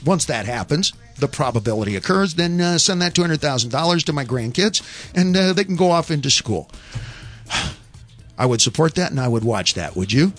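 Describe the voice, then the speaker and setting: A man hosts a call-in radio show, his voice sitting a little high for a man. He talks in a loose, argumentative way with wry asides. Faint music plays underneath. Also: lively intonation, fairly quick, a bit bright.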